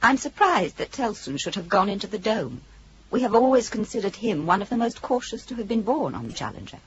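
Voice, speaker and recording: A woman's voice: medium pitch, big pitch swings, crowd noise, natural voice. Woman voicing thoughtful haughty ship computer, swooping intonation, muffled old radio play. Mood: pensive. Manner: measured, philosophical. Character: musing, superior female ship's guardian computer. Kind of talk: 1980s British radio drama acting. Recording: dull old radio recording, faint noise.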